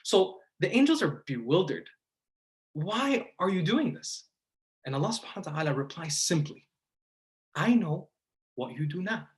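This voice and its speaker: A man's voice: a little high, sing-song. American man giving a reflective religious lecture. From United States, from the Detroit and Dearborn area of Michigan. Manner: deliberate, with pauses and rhetorical questions.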